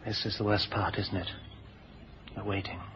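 A man's voice: on the low side, little pitch movement, moderate pace, a bit bright, close to an everyday voice. Man playing an anxious, well-spoken agent's colleague, muffled recording. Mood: tense. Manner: polite, proper, questioning. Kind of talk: 1970s radio drama acting. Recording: muffled, noisy 1970s radio recording.

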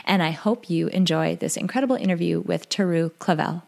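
A woman's voice: on the low side, a little fast, gravelly, darkish tone. Enthusiastic woman podcast host. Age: adult parent. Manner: enthusiastic, emphatic. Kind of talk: podcast intro and interview.

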